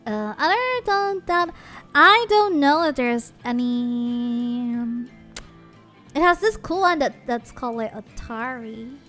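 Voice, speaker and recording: A woman's voice: a little high, expressive, slow, fairly bright tone, faint music behind. A woman streamer chatting casually and giggling, switching between English and Japanese. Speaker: VTuber streamer. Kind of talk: casual live-stream chat.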